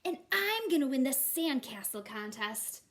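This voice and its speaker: Woman doing a confident, high-voiced little girl. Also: very animated, measured pace, fairly bright tone, full character voice. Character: friendly, confident young girl at the beach.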